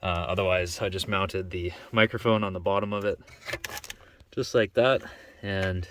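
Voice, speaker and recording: A man's voice: sits low, fairly even intonation, normal speed, a bit bright, a little hiss or hum. A man hosting a casual how-to vlog, talking informally and thinking aloud as he explains. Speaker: vlog host showing a DIY truck install.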